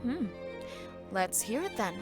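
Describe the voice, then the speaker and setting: A woman's voice: a little high, sing-song, slow pace. A woman playing a young noblewoman swordfighter in an audio drama, theatrical, with a sarcastic edge and a fierce temper. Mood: sweet sarcasm. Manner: sugary, mock-polite, theatrical. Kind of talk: audio drama character acting. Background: loud music.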